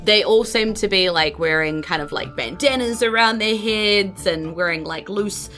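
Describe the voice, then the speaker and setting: A woman's voice: mid-range voice, normal speed, pushed and bright, soft background music. A woman narrating a tabletop game as its host, in an informal, conversational, descriptive way. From New Zealand. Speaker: game master and podcast host.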